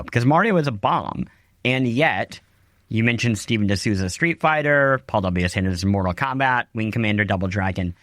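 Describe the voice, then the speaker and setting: A man's voice: on the low side, a bit bright, no room sound, studio quality. A man hosting a podcast interview in a conversational style.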